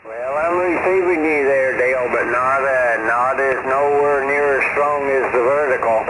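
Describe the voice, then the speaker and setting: A man chatting casually as an amateur radio operator, folksy and a little halting, heard over a radio link. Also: mid-range voice. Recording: very noisy ham radio reception. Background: loud radio static and hum.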